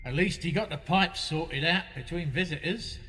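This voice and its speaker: Man acting a bright, echoing, wry police investigator. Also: fairly high pitch, normal speed. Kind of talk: radio play dialogue. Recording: very reverberant hall-like room.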